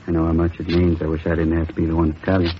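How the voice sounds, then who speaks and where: An actor playing a somber, sympathetic middle-aged police captain with terse, halting lines in a 1950s radio drama. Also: male voice, monotone, natural voice. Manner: terse, halting, low-key. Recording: muffled, noisy old radio recording.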